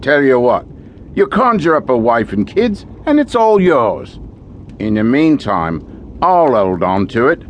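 A sly, slow-talking old-time gravedigger. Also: male voice, medium pitch, sing-song, a little hiss or hum. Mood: sly, scheming. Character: sly, greedy older male gravedigger, falsely pious. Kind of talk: audio horror drama acting.

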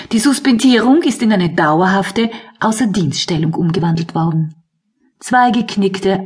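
A woman's voice: fairly low pitch, a little mellow, breathy edge. A woman reading a scripted story recap as narrator of a radio play.

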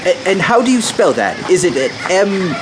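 A man's voice: sits high, sing-song, moderate pace, noisy background. An actor playing a nervous, inexperienced male writer on safari, hesitant, flustered and stammering, in a radio adventure drama.

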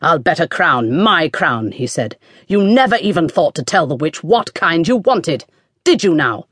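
A storyteller voicing a bad-tempered, scornful sorcerer king who draws out his words slowly. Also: medium pitch, very animated, a bit bright, slightly rough, no room sound, studio quality, exaggerated voice.

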